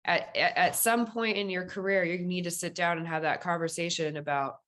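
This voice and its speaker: A woman with a low voice speaks as a guest in a casual interview. She explains her views in a candid, informal way, as in everyday conversation. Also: steady tone, normal speed, a bit bright. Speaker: podcast guest, police dispatcher.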